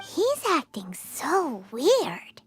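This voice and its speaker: A woman voicing a tiny, childlike fairy girl companion in an anime-style video game, squeaky, blunt and chirpy. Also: huge pitch swings, very slow, faint music behind, exaggerated voice. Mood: puzzled. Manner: childlike, refers to self by name.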